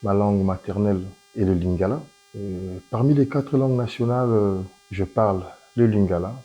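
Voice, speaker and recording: A Congolese man with a low, dark voice gives short interview answers. The recording is dull and lo-fi, with music behind. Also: normal speed. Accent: Congolese French. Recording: dull lo-fi sound.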